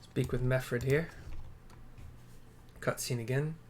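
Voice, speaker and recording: A man's voice: mid-range voice, fairly even intonation, slow pace, a little hiss or hum. A man with a mid-range voice narrates his own game playthrough. He rambles casually and drops into muttered asides. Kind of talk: casual gaming commentary.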